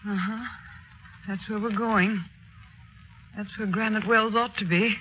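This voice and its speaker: A woman playing an exhausted, gently reassuring mother in a 1930s radio drama, speaking in a subdued, soothing way. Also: mid-range voice, fairly even intonation, a little slow, natural voice. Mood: weary reassurance. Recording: noisy, muffled old radio broadcast.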